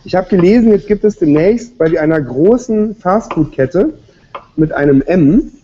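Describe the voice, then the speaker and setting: Chatty high-voiced male cooking host. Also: animated, measured pace, dark tone, dull audio. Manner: chatty, joking, interviewing guests. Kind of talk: casual studio chat while cooking. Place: TV kitchen studio.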